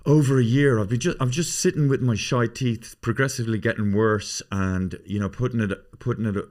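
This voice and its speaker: A man's voice: mid-range voice, brisk, darkish tone. A man in his fifties hosting a podcast, rambling through profane, self-mocking casual chat. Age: 50s. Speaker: podcast host.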